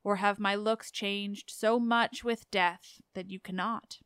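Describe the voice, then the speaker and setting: A woman voicing the ghost of a drowned husband, speaking in a hushed, slow, sorrowful way. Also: medium pitch, fairly even intonation, smooth, dry recording, clean studio sound. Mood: mournful.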